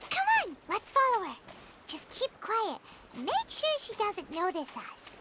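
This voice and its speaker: A tiny, excitable fairy girl from a video game, squeaky and childlike. Her pitch sits extremely high and swings around in a very lively way. She chatters in exclamations with an easily indignant temper, over clearly audible noise. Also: a little slow, heavily acted. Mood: determined. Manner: exclamatory, refers to herself in third person. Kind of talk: animated video game voice acting.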